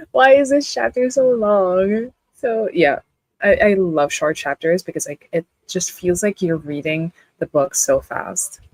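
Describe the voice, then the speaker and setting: A woman's voice: medium pitch, slow pace, no echo. A young woman with a Filipino accent hosting a casual live reading stream, chatting and reading out viewer comments with lots of "like" fillers. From Philippines. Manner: chatty, many "like" fillers, laughs often. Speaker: livestream host.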